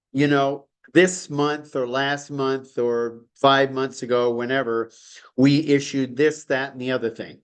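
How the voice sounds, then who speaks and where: A man's voice: mid-range voice, smooth. A man teaching an online study class, enthusiastic and rambling, with frequent chuckles. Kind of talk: informal lecture on a call.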